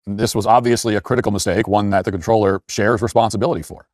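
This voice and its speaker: A man hosting an opinion commentary show, speaking in an emphatic, critical way with deliberate phrasing and asides. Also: lowish voice, fairly even intonation, a little mellow, dry recording, clean studio sound.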